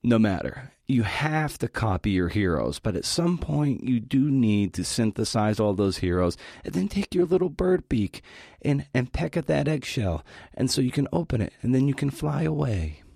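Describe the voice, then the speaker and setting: A man's voice: medium pitch, very animated, moderate pace, vocal fry, warm tone, no echo, clean studio sound. A middle-aged man hosting a podcast, giving a scripted talk with casual asides in a folksy, encouraging manner.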